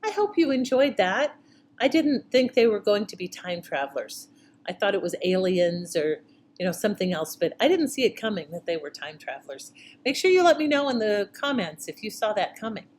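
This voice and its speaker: A woman hosts in a casual, conversational way, speaking straight to her listeners. Her voice sits at a middle pitch, with faint noise behind it. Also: very animated, a little fast, a little mellow. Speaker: host commenting after an old radio show. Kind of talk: casual, chatty commentary to listeners.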